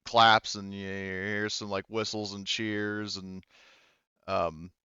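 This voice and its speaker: Male game master, smooth and lowish voice, informal, thinking aloud, muffled dry recording. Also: flat delivery, slow pace, sharp tone, no room sound. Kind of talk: casual tabletop game narration.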